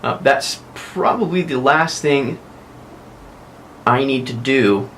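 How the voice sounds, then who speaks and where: A man's voice: mid-range voice, slow pace, fairly bright tone, a little hiss or hum. A young American man hosting a casual weekly vlog, chatting and rambling with frequent self-corrections. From United States. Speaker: vlog host.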